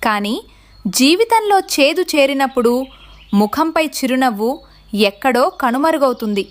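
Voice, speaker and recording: A woman's voice: high voice, animated, normal speed. A woman giving scripted narration as the storyteller of a tale.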